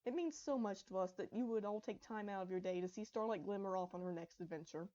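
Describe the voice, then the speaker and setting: A woman's voice: medium pitch, quick pace, warm tone, muffled recording, natural voice. A woman voicing a cheerful, eager young pony princess in a storybook reading of fan fiction. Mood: polite, addressing guests.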